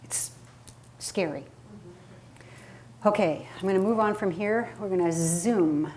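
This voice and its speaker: A woman presents a live workshop as a speaking coach in a medium-pitched voice. She is conversational and emphatic, often turning to the listeners with questions. Noise from the room is clearly audible around her. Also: slow, darkish tone. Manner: emphatic, conversational, asks the audience rhetorical questions.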